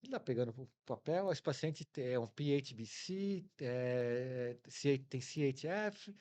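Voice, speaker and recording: A man's voice: mid-range voice, expressive, measured pace, darkish tone. Brazilian man telling stories as an interview guest. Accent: Brazilian Portuguese. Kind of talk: casual anecdotal interview talk.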